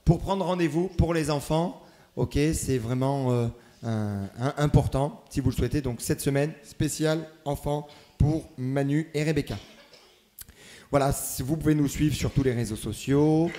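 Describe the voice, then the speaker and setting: A man leading a church service, giving warm welcomes, announcements and prayers with a hesitant, pausing delivery. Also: on the high side. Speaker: church leader welcoming the congregation.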